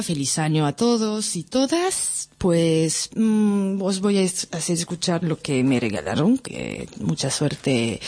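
French-accented woman with a low, husky voice. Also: a little mellow. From France. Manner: rambling, playful, chatty with fillers. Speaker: radio host. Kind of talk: casual radio chat.

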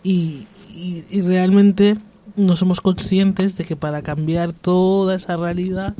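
High-voiced reflective man, mellow tone. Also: steady tone, takes it slowly, a little hiss or hum. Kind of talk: discussion about psychiatry.

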